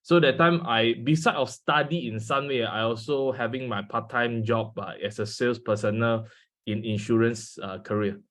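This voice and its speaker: A man's voice: medium pitch, steady tone, normal speed, a bit bright. A man in his twenties with a Malaysian English accent, a native Mandarin speaker, giving a guest talk over a video call with frequent fillers. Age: 20s. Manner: hesitant, many fillers, speaks to an audience. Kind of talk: online seminar talk.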